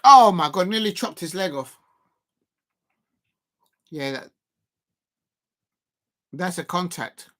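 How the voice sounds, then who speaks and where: A man's voice: sits high, animated, slow, long pauses, sharp tone. Hesitant man hosting a football watch-along. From Colombia. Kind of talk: casual live match commentary.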